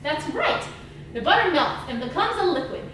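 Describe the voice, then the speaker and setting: Woman voicing excitable high-pitched Einstein scientist puppet. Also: moderate pace, exaggerated voice. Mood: excited explaining. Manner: musing, repeats words, exclaims, thinks aloud. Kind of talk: children's educational puppet show. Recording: echoey room, distant mic, noisy.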